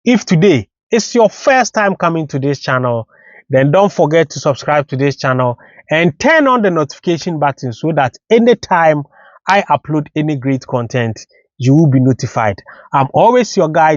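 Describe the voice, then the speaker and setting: A man with a fairly high, lively voice addresses his viewers directly as the channel host. The sound is dry and a little dull, like a lo-fi home recording. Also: normal speed, dry recording. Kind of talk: casual outro to viewers.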